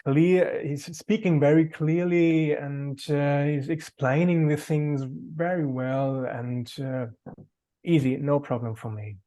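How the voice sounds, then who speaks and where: A man's voice: a little high, steady tone, takes it slowly, warm tone. A man with a German accent, a native German speaker, talking earnestly as a qigong teacher on a video call, sometimes searching for words.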